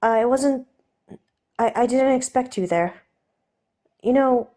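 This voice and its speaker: A woman voicing a flustered, fussily polite gentleman sailor who babbles hesitantly in an audiobook story. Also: high voice, takes it slowly, voice pushed up. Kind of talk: audiobook character voice.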